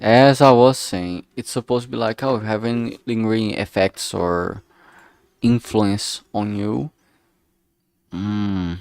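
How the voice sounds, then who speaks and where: A man's voice: fairly low pitch, steady tone, takes it slowly, darkish tone, clean tone, no echo, studio quality. A man thinking aloud casually as he works, speaking hesitantly and often trailing off mid-thought. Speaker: streamer making a visual novel.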